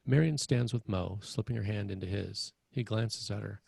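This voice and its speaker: A man's voice: on the low side, normal speed, vocal fry, darkish tone, husky, dry recording, studio quality. A man reading screenplay stage directions as a narrator at a table read.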